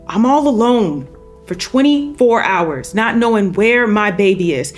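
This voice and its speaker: A woman's voice: medium pitch, lively intonation, a little slow, soft background music, natural voice. Angry, exhausted mother of a missing girl, plain-spoken and folksy, mid-range voice played by a woman at a table read. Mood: shaken, bitter. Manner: folksy, blunt, no-nonsense storytelling. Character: angry, weary mother of a missing teenage daughter.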